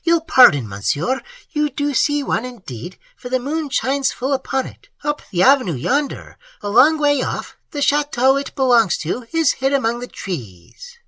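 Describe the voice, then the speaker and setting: A man's voice: very high voice, wildly swooping pitch, moderate pace, few pauses, bright, forceful tone, no room sound, pitched above the performer's own voice, full character voice. A reader voicing an elderly, widowed, superstitious village man who speaks earnestly in old-fashioned phrasing. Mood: earnest, uneasy. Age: older. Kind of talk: audiobook character reading.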